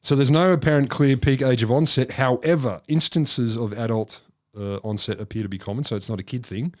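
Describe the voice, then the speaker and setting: A man's voice: medium pitch, fairly quick, dry recording, clean studio sound. A man co-hosting a casual comedic science podcast, reading out study findings with wry jokes and asides. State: married. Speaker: podcast co-host presenting a science topic.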